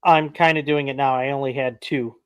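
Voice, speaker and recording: A man's voice: medium pitch, steady tone, a little fast, smooth, no room sound. A man co-hosting a live podcast, cracking jokes and laughing at his own quips. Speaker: podcast co-host. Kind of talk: casual live-stream chat.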